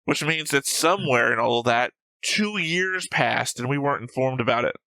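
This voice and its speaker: Bright-voiced man, nitpicking reaction commentary. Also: mid-range voice, expressive, moderate pace, no echo, low-quality recording. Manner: analytical, nitpicking. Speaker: reaction show host.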